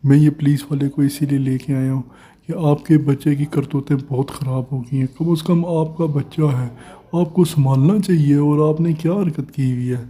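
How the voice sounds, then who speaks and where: A man's voice: a little high, steady tone, normal speed, warm tone, natural voice. A man voicing an indignant, lecturing village headman in an amateur cartoon. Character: indignant village headman scolding parents of a delinquent boy. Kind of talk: amateur cartoon voice acting in Urdu.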